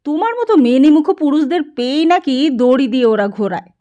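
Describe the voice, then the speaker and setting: A woman storyteller voicing a sharp-tongued, nagging wife who complains emphatically and with open disdain. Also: sits high, lively intonation, fairly quick. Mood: nagging, exasperated.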